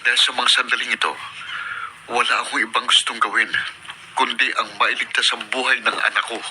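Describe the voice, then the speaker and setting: A man's voice: mid-range voice, level tone, brisk, lightly acted. An actor playing an anguished, devoted young father in a Filipino radio drama, speaking earnestly and dramatically. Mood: pleading, determined. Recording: noisy radio drama recording.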